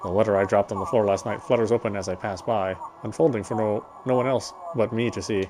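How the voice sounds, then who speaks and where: A man reading a visual novel's text aloud as dramatic narration, solemn and a little halting. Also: on the low side, steady tone, background noise. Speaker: game player reading story text aloud.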